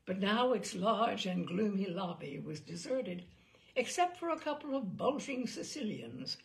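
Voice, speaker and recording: A woman reading a memoir aloud in an expressive, dramatic storytelling style with wry asides. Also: mid-range voice, gravelly, rough recording. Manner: lively, dramatic phrasing with asides. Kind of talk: expressive audiobook-style narration.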